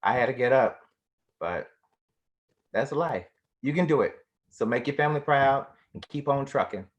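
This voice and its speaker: A man plays a big-hearted garbage man who has known grief. He is middle-aged, with a medium-pitched voice. His delivery is earnest and plain-spoken, like a dramatic monologue. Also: lively intonation, normal speed, lightly acted. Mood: encouraging. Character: big-hearted, grieving garbage man encouraging young graduates.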